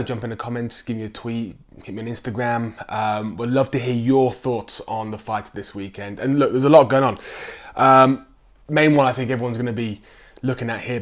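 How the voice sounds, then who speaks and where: Croaky man talking fights. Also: mid-range voice. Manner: chatty, with frequent ums and restarts. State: croaky with a chest infection. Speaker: sports vlogger. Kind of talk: casual chat to camera.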